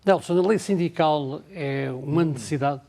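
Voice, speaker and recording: A man's voice: fairly high pitch, normal speed, creaky, warm tone. A man moderating a televised debate in a studio, putting brief, direct questions to the candidates.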